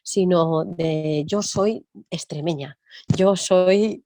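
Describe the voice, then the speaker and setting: A woman music teacher talking in an interview, thinking aloud and often tagging her points with quick questions. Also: on the low side, dark tone, dry recording. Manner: reflective, thinks aloud with frequent tag questions. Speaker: music teacher and percussionist guest. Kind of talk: conversational interview.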